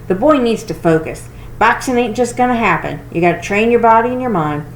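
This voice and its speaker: A woman's voice: on the high side, lively intonation, a little fast, voice pushed up. A woman voicing a stern old grandfather with an emphatic, scolding manner, in a storybook reading. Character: stern, grumbling grandfather, tough and impatient with softness. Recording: roomy echo with clear noise.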